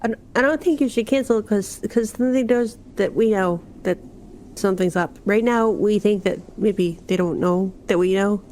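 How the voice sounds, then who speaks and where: A woman's voice: medium pitch, normal speed, a little mellow, a little hiss or hum. A woman playing in a tabletop roleplaying game, improvising in a casual, conversational way.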